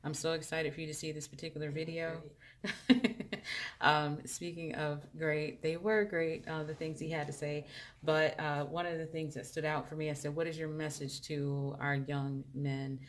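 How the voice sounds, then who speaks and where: A woman's voice: low-pitched, level tone, moderate pace. A woman life coach hosting a casual video interview, chatty and upbeat.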